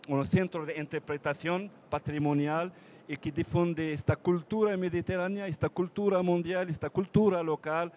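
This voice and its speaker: Halting man, many restarts. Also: on the high side, a little mellow. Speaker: mayor. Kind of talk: conference panel talk. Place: conference panel.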